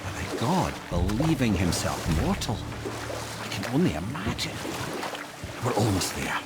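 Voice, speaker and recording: A man's voice: lowish voice, wildly swooping pitch, measured pace, heavy background noise. An actor playing a shrewd, worldly male advisor in a video game, earnest and explanatory in his talk. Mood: grave, thoughtful. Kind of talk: dramatic video game acting.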